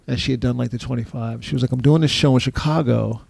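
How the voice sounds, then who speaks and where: A man chatting casually, telling drawn-out anecdotes with dry asides. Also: mid-range voice, fairly even intonation, darkish tone, no room sound. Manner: gravelly, drawling anecdotes with asides. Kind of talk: casual chat.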